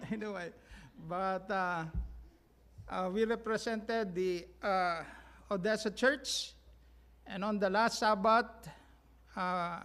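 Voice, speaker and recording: A man with a Filipino accent giving a mission trip report to his church, halting with many fillers and sounding sluggish from jet lag. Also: high voice. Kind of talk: church announcement talk. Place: church sanctuary.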